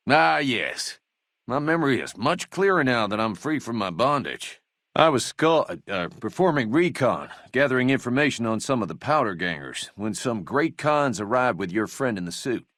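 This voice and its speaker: A man's voice: medium pitch, big pitch swings, moderate pace, a bit bright, no echo, studio quality. An actor playing a meek, self-important small-town deputy in a video game, fussy, wordy and mannered in his politeness. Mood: eager, fussy. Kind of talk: scripted game dialogue acting.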